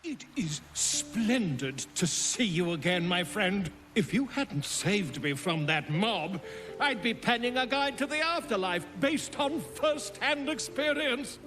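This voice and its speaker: A man's voice: sits high, expressive, takes it slowly, fairly bright tone, soft background music, full character voice. A man playing an eloquent, courteous scholar in a video game, with precise, florid and dramatic phrasing. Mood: courteous, intrigued. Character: eloquent male scholar, courteous, theatrical, earnest. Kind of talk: cinematic video game acting.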